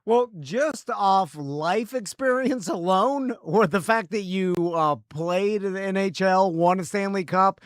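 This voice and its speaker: A man's voice: high-pitched, unhurried, hardly any pauses, dry recording, clean studio sound. A man in his forties co-hosting a casual podcast, talking in rambling stretches that are full of fillers. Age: 40s. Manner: rambling, many fillers, laughs easily. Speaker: podcast co-host.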